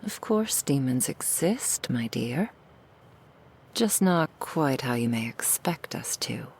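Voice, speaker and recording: A woman voicing a seductive, cruel female demon in an ASMR roleplay, in a slow, breathy whisper full of mocking, intimate taunts. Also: a bass voice, big pitch swings, a little mellow, slight background noise, pitched below the performer's own voice, exaggerated voice. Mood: silky menace.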